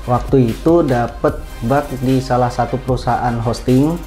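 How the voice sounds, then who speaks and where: A man giving brief, plain, halting answers as a guest in a casual interview. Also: mid-range voice, fairly even intonation, mellow. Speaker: podcast guest, IT security worker. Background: music.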